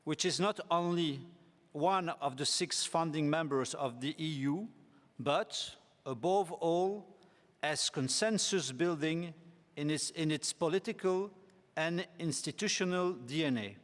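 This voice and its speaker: A man, giving a formal committee speech in a measured, deliberate way with hesitant fillers. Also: on the high side. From Belgium. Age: 40s. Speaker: parliamentarian candidate for rapporteur. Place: assembly conference hall. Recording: long reverb of a large hall.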